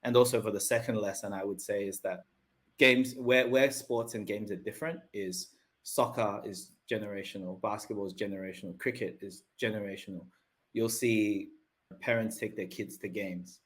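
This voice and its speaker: A man's voice: on the low side, fairly even intonation, moderate pace, a bit bright. A man, talking loosely as a podcast guest and often checking in with tag questions. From Australia. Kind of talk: casual interview talk.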